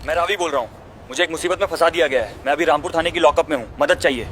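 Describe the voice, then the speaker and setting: A man playing a principled, polite soldier with an earnest, respectful manner in a scripted animated moral story. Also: fairly high pitch, very fast, a little hiss or hum, lightly acted. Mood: troubled. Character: principled young male soldier, polite and steadfast.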